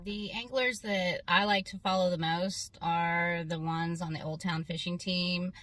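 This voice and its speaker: A woman's voice: lowish voice, fairly even intonation, a little slow, a bit bright, soft background music. A woman from Maine giving casual profile answers about herself as an angler. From Maine, United States. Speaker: kayak angler. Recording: distant from the mic.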